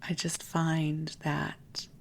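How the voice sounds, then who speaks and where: Sleep podcast host, real soft n gentle, flat calm pitch, creaky breathy half whisper, takes their time. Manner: gentle, soothing, unhurried, often dropping into a whisper.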